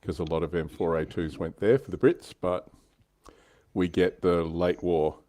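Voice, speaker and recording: A man's voice: sits low, steady tone, moderate pace, mellow. A man hosting a livestream, chatting casually and explaining details of a hobby. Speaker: livestream host.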